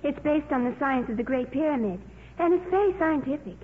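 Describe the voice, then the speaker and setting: High-voiced solemn little girl, vintage radio. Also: expressive, a little fast. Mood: proudly precise. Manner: earnest, precise, bookish. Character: solemn, precocious little girl, a scientist's daughter. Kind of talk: 1950s radio drama acting. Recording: narrow, noisy 1950s radio recording.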